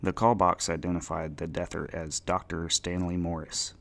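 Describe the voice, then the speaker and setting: A man's voice: low voice, little pitch movement, normal speed, gravelly, fairly bright tone. A man narrating fiction as a dramatic scripted audiobook reading.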